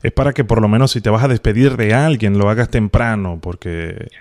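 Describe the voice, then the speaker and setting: A man playing a stern, unhurried official in a prank phone call, speaking formally and deliberately with feigned sympathy. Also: fairly low pitch, gravelly, a little mellow. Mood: coolly pressing. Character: stern, unhurried official announcing an impending arrest. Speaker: prank caller, host of a phone prank show. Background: music.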